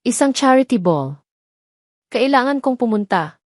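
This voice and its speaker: A woman's voice: fairly high pitch, big pitch swings, measured pace, a little mellow, dry recording, studio quality, voice pushed up. Female reader as cold businessman husband, commanding and curt, romance audio story. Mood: cold, irritated.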